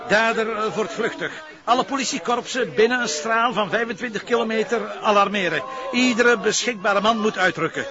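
An actor playing a wry, gruff police commissioner in a TV crime drama, with a Flemish accent and a dry, sardonic way of talking. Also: male voice, high-pitched, fairly quick, highs cut off, crowd noise, natural voice. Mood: urgent, commanding. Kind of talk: naturalistic TV drama dialogue.

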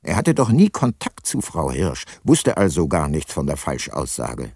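A man's voice: very deep voice, wildly swooping pitch, moderate pace, creaky, a little mellow, husky, dry recording, clean studio sound, full character voice. An actor playing a sombre, reflective older detective who narrates his own radio drama. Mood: brooding, reflective. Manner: reflective, sober. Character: brooding, sombre aging private detective narrator. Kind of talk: first-person radio drama narration and dialogue.

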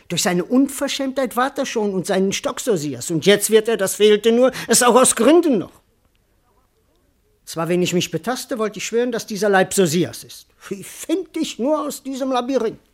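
An actor playing a cowardly, bewildered servant in a classical verse radio comedy, wordy, plaintive and comically indignant. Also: male voice, high-pitched. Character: bewildered, cowardly male servant doubting his own identity.

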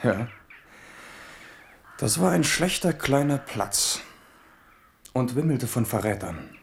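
A man's voice: medium pitch, expressive, slow, gravelly, a little hiss or hum. An actor playing a resolute young king leading a small army in a radio drama.

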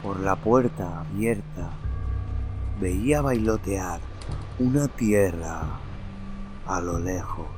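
A man with a very deep voice narrates in a slow, theatrical manner. He takes his time over each phrase. Loud music plays beneath his reading. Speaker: narrator and podcast host. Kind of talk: dramatic horror story reading.

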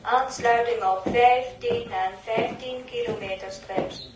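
An impersonal announcer of a futuristic transit line in an old radio play. Her fairly high voice calls out formally, far from the microphone in an echoey, noisy space. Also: fairly even intonation, slow pace, dull audio, music in the background. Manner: formal, list-like calling out. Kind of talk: public transport announcement in 1960s radio drama. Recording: distant, echoey, noisy public-address sound.

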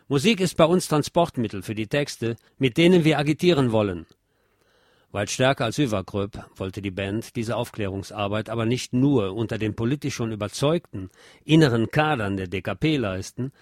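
A man presenting a music history programme in scripted, measured and articulate documentary narration. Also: lowish voice, darkish tone, no echo, studio quality. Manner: measured, articulate lecturing delivery.

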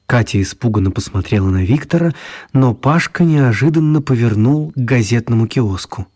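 A man's voice: mid-range voice, expressive, moderate pace, a little mellow, husky. A man narrating a children's radio story in a scripted storytelling style.

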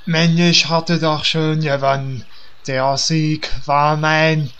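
A man's voice: fairly high pitch, level tone, slow, a bit bright, clean tone. A man playing a whiny, self-important adventurer in a comedic fantasy audio drama, melodramatic, with slightly muffled sound. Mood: aggrieved. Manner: melodramatic, complaining.

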